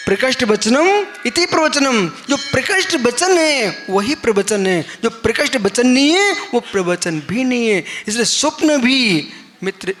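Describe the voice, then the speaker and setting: A man's voice: high voice, a bit bright, a little hiss or hum. A middle-aged man, a Jain monk, giving a conversational sermon to an audience, asking them questions and acting out the people in his stories. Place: live assembly hall.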